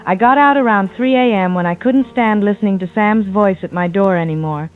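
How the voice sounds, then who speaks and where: A woman's voice: medium pitch, brisk, close to an everyday voice. A woman playing a shaken, grieving survivor calling in to a radio drama, grave and haunted. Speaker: caller. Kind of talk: radio drama phone-in account. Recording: thin tinny phone line, music behind.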